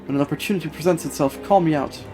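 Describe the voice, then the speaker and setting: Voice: mid-range voice, rapid-fire, dark tone, soft background music, natural voice. A streamer voicing a noble fish-man prince from a video game, courteous and formal, with an earnest warrior's gallantry. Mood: resolute. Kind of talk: reading video game dialogue aloud in character.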